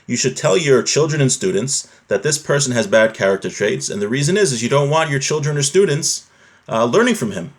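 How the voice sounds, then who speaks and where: A man's voice: mid-range voice, animated, fairly quick. Man teaching religious law, conversational. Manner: switches between Hebrew quotations and plain explanation. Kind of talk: informal religious lesson, reading and explaining a text.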